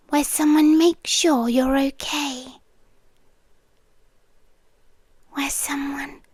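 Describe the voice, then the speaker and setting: Woman acting a high, whispery British yandere girlfriend. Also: no room sound, studio quality. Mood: coaxing, controlling. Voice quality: soft, often slipping into whispers. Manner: slow, deliberate, lingering pauses. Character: possessive, devoted yandere girlfriend, sweetly controlling. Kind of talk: intimate ASMR girlfriend roleplay. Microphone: close microphone, dry sound.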